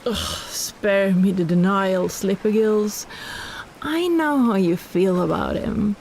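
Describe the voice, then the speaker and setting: A woman's voice: medium pitch, slow, a little mellow, slight background noise. A woman playing a possessive, teasing kraken in a roleplay audio drama, cooing and bossing like a doting big sister. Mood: pushy, teasing.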